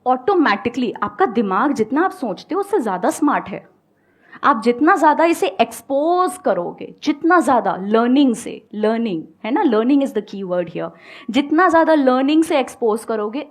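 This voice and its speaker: A young woman speaking on stage, giving calm, coaxing advice to an audience with everyday examples. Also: a little high, normal speed. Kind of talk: motivational talk and audience Q&A. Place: stage before a live audience.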